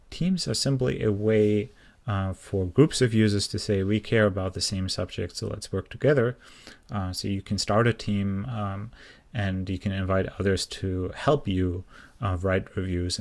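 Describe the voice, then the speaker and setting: A man's voice: deep voice, vocal fry, a little mellow. A man walking through a website in a screencast, explaining plainly with many uh and um fillers. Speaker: software project founder giving a demo. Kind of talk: screencast walkthrough.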